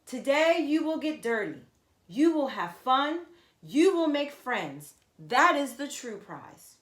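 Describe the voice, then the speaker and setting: A woman voicing a hearty male race announcer in a storybook, with loud, drawn-out rallying calls, in an echoey room. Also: sing-song, heavily acted. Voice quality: booming. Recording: echoey, roomy sound.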